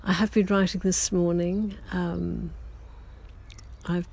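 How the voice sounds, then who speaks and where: A writer keeping a daily audio diary, talking to listeners in a gentle, halting way with many pauses and self-corrections, a little hoarse after losing their voice that morning. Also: medium pitch, little pitch movement. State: recovering from a lost voice, slightly hoarse. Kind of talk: slow reflective personal chat to listeners.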